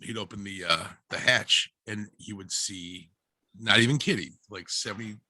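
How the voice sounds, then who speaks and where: Male storyteller, low and a little rough, casual slangy anecdotes, close dry sound. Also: normal speed, bright, forceful tone, no echo, poor audio. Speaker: guest, barber and livestreamer. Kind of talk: casual personal anecdotes in a group video chat. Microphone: headset on a video call.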